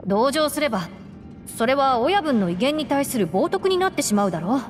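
An actress playing a composed young woman deputy who speaks in a formal, deadpan way. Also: sits high, fairly quick. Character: calm, dry young woman gang deputy. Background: game music.

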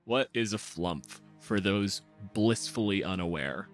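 A man's voice: lowish voice, a little slow, soft background music. A man hosting a casual podcast in a sardonic, grumbling, exasperated manner. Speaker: podcast host.

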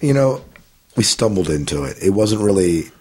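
Low husky-voiced man telling a rambling story. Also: big pitch swings, moderate pace, darkish tone. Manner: rambling, filler-heavy storytelling with restarts. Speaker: podcast guest. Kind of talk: casual interview answers.

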